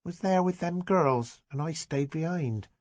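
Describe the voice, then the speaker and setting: A man voicing a young village boy with a Dorset accent, talking in a high treble with rustic dialect. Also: measured pace, a little mellow, no room sound, lightly acted. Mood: sulky. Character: small tearful village boy, cheeky, playing tough.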